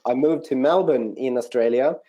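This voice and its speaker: A man with a Swedish accent, speaking conversationally as an interview guest, in a reflective, hesitant way with frequent pauses. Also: medium pitch, dark tone, rough recording. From rural Sweden, outside Norrköping. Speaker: interview guest, non-profit country manager. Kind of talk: conversational personal account in an interview.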